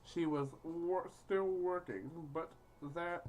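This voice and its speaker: A woman's voice: lowish voice, takes it slowly, a little mellow, slight background noise, rough recording. A foul-mouthed woman reading a game aloud with casual, crude joking and stumbles over the words. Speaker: gaming video host.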